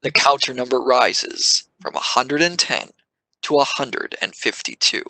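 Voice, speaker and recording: Bright-voiced male audio drama narrator. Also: mid-range voice, animated, a little slow, dry recording, rough recording.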